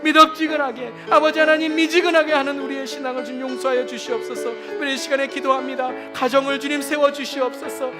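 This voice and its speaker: High-pitched man praying fervently over music. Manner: pleading, repetitive invocations, emphatic. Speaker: pastor leading congregational prayer.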